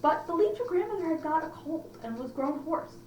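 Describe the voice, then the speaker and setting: Expressive woman reading stories aloud, echoey room. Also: extremely high pitch, fairly quick, a little hiss or hum. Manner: expressive, questioning asides to listeners. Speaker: library storytime host. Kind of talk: read-aloud storytelling for children with chatty asides. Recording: echoey room, slightly distant mic.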